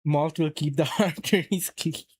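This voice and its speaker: A man's voice: fairly high pitch, normal speed, husky, dry recording. A man from Saudi Arabia casually chatting on a live stream, rambling and answering chat as he plays.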